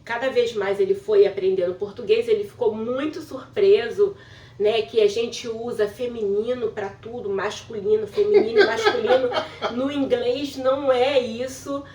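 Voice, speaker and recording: A woman's voice: a little high, moderate pace, darkish tone. A woman from Brazil telling her story casually to camera, often laughing and explaining things for her husband. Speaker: vlogger.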